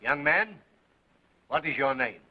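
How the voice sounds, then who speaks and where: A curt police prefect. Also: male voice, on the high side, animated, measured pace. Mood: stern. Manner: curt, interrogating. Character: skeptical stern male police prefect. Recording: tinny 1930s film soundtrack.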